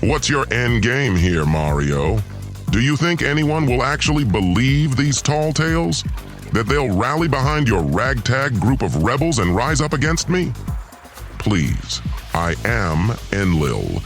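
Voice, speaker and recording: Haughty low-voiced villain god, acted by a man. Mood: scornful. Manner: mocking, pompous, theatrical taunting. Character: haughty, menacing godlike male villain and tyrant ruler. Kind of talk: audio drama villain monologue. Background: background music.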